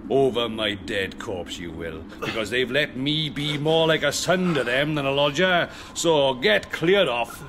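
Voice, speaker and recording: A man's voice: mid-range voice, expressive, unhurried, few pauses, fairly bright tone. An actor playing an indignant, self-righteous British male lodger in a radio drama. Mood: disgusted, hostile. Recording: radio drama with noisy background.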